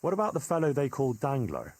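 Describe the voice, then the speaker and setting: An actor playing an earnest, curious young medieval man in a video game, plain-spoken and inquisitive, with a husky voice. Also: medium pitch, huge pitch swings, a little fast, darkish tone, no echo. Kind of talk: video game cutscene dialogue.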